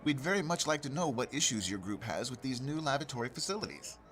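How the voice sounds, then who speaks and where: A man acting as an earnest anchorman on a comic local radio newscast. His voice sits at a medium pitch, with a formal, measured delivery and polite persistence. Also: very animated, a crowd in the background, close to an everyday voice. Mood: politely exasperated. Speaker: news anchor. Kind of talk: scripted radio newscast, parody.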